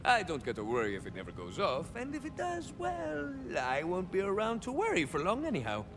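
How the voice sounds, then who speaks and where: An actor playing a swaggering young cyborg soldier of fortune in a video game, a touched flavor, musing theatrically and landing wry deadpan punchlines. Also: male voice, high-pitched, a little fast, big-room sound, noisy background, exaggerated voice. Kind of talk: video game character monologue.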